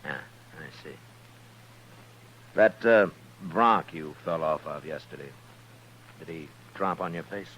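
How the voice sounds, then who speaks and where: A man acting a steady, dryly wry U.S. marshal in a 1950s radio western, speaking dialogue and first-person narration. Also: very deep voice, takes it slowly, long pauses, a little hiss or hum, full character voice, low-quality recording. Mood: probing, measured. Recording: old radio broadcast with music.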